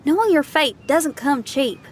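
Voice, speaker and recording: A woman's voice: high voice, expressive, measured pace, a little hiss or hum. A woman voicing a frank, spirited young female adventurer, casual and plainspoken, in an anime fantasy game. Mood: supportive.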